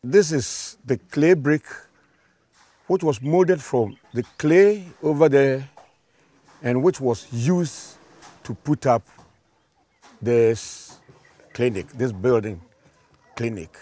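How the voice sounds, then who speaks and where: An older man, explaining things outdoors at a building site, slow and deliberate with pauses between phrases. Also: medium pitch, warm tone, no room sound.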